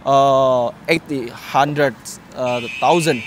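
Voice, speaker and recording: A man from Indonesia with an Indonesian accent, answering casually in halting English and often repeating words. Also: medium pitch, steady tone. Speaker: interviewee. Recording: clear outdoor traffic noise.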